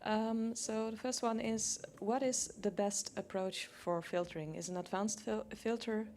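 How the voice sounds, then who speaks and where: A woman moderating a conference Q&A session, briskly reading out online questions and calling on the room. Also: medium pitch, steady tone, a little mellow, a little hiss or hum. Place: conference hall.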